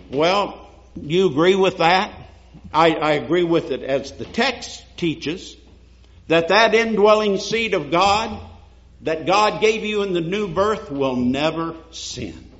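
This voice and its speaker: Slow, lo-fi Baptist-style preacher man. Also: fairly high pitch, fairly bright tone. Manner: preaching with rising emphasis, reading scripture aloud. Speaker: pastor. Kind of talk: sermon.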